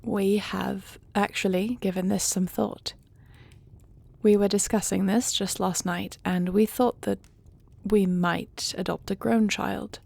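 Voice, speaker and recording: A woman playing a dutiful, gracious dragon-shifter queen in a fantasy audio roleplay, speaking in formal, deliberate phrases. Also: mid-range voice, steady tone, vocal fry, a little mellow. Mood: formal, measured.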